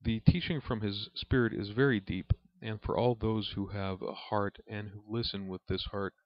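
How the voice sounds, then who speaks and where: A man reading aloud from a spiritual book as a lesson, in a measured, reverent manner. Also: on the low side, fairly even intonation. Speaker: reader and religious teacher. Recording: dull, lo-fi recording in an echoey room.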